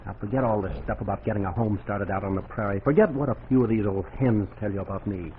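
An actor playing a smooth-talking, cocksure frontier gambler, coaxing and folksy, in 1940s radio drama style. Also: male voice, lowish voice, quick pace, close to an everyday voice. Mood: persuasive. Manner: coaxing, swaggering, folksy grammar. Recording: muffled, noisy 1940s radio recording.

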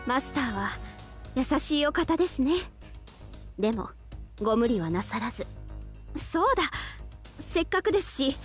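A young female oni warrior for a mobile game. Her voice sits high, and every phrase is courteous and humble. She sounds devoted to her lord while keeping her secrets, with music playing softly underneath. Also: animated, normal speed, pauses often. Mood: gentle, devoted, then eager, shy. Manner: formal, humble, courteous speech. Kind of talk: Japanese mobile game character voice acting. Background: game background music.

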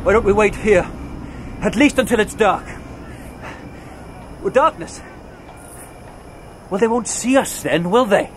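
Eager, high-voiced young knight. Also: male voice, a little slow, long pauses, a little hiss or hum. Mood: nervous, wry. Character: knight's younger brother, eager, dogged, later nervously rambling. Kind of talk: radio drama acting.

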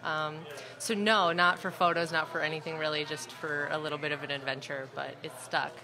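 A woman in her thirties from Chicago, a photographer guest on a live podcast, talking in a relaxed, chatty way. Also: sits low, brisk, noisy background. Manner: relaxed, chatty, laughs easily. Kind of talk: casual interview. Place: busy restaurant. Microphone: broadcast mic on stand. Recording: crowd chatter behind. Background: restaurant crowd chatter.

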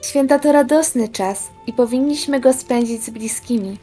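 Woman playing a soft, high-voiced patient daughter. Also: normal speed, close to an everyday voice. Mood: earnest reproof. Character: patient, defensive grown daughter, married woman. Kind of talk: amateur school radio play. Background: music.

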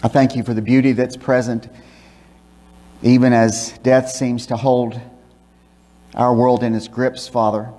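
An older man preaching from a church pulpit, reading scripture and praying in a measured, emphatic way. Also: medium pitch, little pitch movement, mellow. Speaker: pastor. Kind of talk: sermon with scripture reading and prayer. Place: church sanctuary, speaking from a pulpit. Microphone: pulpit microphone, filmed by a far camera. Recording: long church reverb.

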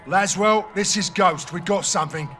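An actor playing a stoic, terse special forces lieutenant with a British accent, clipped and deadpan, in a military game. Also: male voice, high-pitched, normal speed. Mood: urgent. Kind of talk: cinematic military game acting. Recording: loud music behind dialogue.

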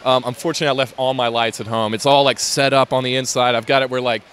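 Man, mid pitch, rambling with fillers, light background noise, convention hall. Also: fairly even intonation, quick pace, a bit bright. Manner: rambling, many fillers and restarts. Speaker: LEGO builder interviewed at a fan convention. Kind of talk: casual interview.

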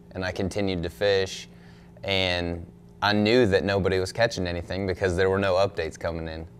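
A young man, a pro angler, answering questions at a press conference in a relaxed, thoughtful way, full of "you know" and "um". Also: low-pitched, a little hiss or hum. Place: indoor press room in front of a backdrop. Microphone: desk microphone on a stand.

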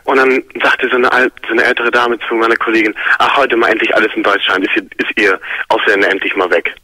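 This is a young man phoning in to a late-night radio show over a bad phone line. He talks quickly and rambles, with plenty of fillers. His voice is bright and sits on the low side, with almost no room sound. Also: little pitch movement, no echo. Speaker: caller. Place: Baltic coast region. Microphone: telephone line.

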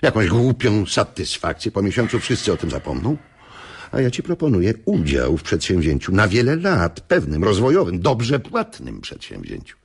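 Radio drama, scheming coach, male, very raspy, hugely animated intonation, pushy, persuasive. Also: lowish voice, normal speed, full character voice. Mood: urgent persuasion. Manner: repeats phrases, persuasive, insistent. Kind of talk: Polish radio drama acting.

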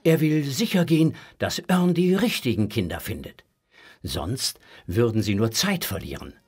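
A man's voice: medium pitch, sing-song, moderate pace, a little mellow, breathy edge. A man reading scripted narration for a children's audio drama.

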